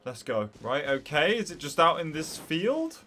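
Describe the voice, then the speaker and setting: A man's voice: on the high side, sing-song, normal speed, pushed and bright. A man reacting casually over gameplay as a streamer, with brief offhand interjections. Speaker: video game streamer.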